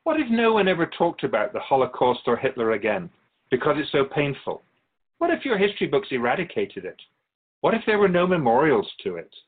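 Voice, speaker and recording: Solemn, wise keeper of souls, muffled. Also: male voice, on the high side, big pitch swings. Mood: probing, earnest. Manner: measured, formal, philosophical. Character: otherworldly male keeper of souls, wise, patient, solemn messenger. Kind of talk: radio drama interview acting. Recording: dull lo-fi audio.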